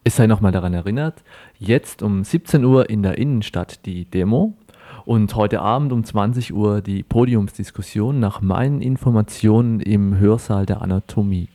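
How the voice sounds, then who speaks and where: A man's voice: fairly low pitch, warm tone. A man working as a radio presenter, interviewing and announcing.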